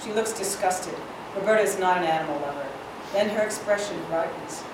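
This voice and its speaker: Lower-pitched woman narrator, very echoey. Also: brisk. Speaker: stage-directions reader. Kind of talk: scripted narration, screenplay reading. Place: large room at a live staged reading. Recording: echoey hall, distant mic, noisy.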